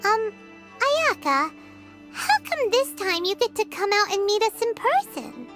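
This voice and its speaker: A woman voicing a small, childlike, excitable fairy companion with a squeaky voice in a video game. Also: measured pace, music in the background, exaggerated voice. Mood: pensive. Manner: refers to self in third person, exclaiming, expressive.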